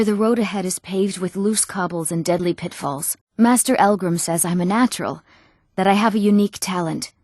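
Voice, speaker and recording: A woman's voice: fairly low pitch, darkish tone, no room sound, studio quality, close to an everyday voice. An actress playing an eager, earnest young townswoman in a fantasy video game, speaking in an articulate, well-spoken way. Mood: earnest, worried. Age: young adult.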